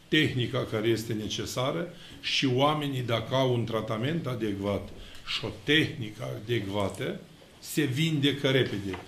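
A middle-aged man from Romania, a priest, giving an emphatic sermon with slow, stressed words. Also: medium pitch, slight background noise. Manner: emphatic preaching with slow, stressed words and lively hand gestures. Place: church with icons, indoors. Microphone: fixed camera, mid shot. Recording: echoey church room.

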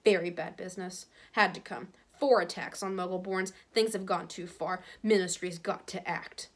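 A woman's voice: on the low side, steady tone, normal speed. Lady reading as nervy government man, clipped short bits, flustered, storybook voice. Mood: clipped, uneasy. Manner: clipped, fragmentary phrases, nervous. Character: flustered, clipped, anxious male government minister.

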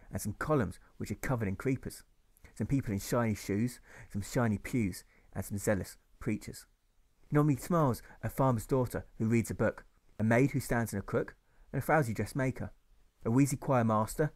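Male audiobook narrator, rhythmic delivery. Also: mid-range voice. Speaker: author reading his own audiobook. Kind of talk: scripted narration of rhyming novel.

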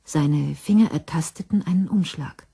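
A woman's voice: low-pitched, moderate pace, vocal fry, warm tone, breathy edge. A woman narrator reading scripted narration for a crime radio drama.

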